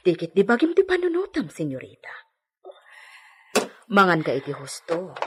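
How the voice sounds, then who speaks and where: An actress playing a gossipy, motherly household servant in a radio drama, fussing in a husky voice. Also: lowish voice, huge pitch swings, normal speed, very noisy. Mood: fussing, consoling. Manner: nagging, confiding, fussing.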